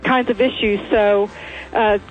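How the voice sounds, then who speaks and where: A woman's voice: medium pitch, steady tone, unhurried, soft background music. A woman with a Texas accent, hosting a radio talk show and interviewing guests in a folksy, plain-spoken way. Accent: Texan. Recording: tinny phone-like radio audio.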